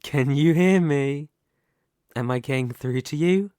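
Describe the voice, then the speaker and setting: Casting: male actor as haughty, possessive Demon King for ASMR roleplay; unhurried, teasing, theatrical, recorded close and dry. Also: a little high, darkish tone, smooth, no room sound, close to an everyday voice. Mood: teasing, amused. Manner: slow, teasing, theatrical delivery with dramatic pauses. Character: mighty male Demon King, taunting, possessive, silkily obsessed with hero. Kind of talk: ASMR roleplay audio drama.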